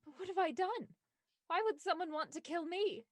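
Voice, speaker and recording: Casting: young 1920s heiress, very high register, soft, plaintive, uneasy, for radio whodunit. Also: female voice, normal speed. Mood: worried, questioning. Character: anxious young heiress, wronged murder suspect. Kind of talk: period radio mystery acting.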